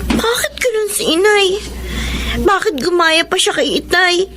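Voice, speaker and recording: An actress playing a hurt, innocent young daughter in a radio drama, speaking plaintively. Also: female voice, very high-pitched, animated, unhurried, a crowd in the background. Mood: dismayed. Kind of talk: Tagalog radio drama acting.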